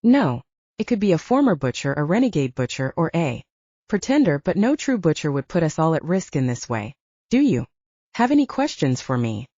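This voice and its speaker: A woman reading the part of a calm, measured middle-aged man, a father and leader, in an audiobook, gently serious in manner. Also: low voice, dry recording, heavily acted. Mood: thoughtful. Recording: slightly dull lo-fi audio.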